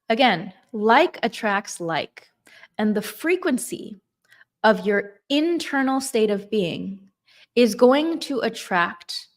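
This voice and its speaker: A woman giving a slow, emphatic motivational talk on manifestation and gratitude. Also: medium pitch. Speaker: manifestation coach.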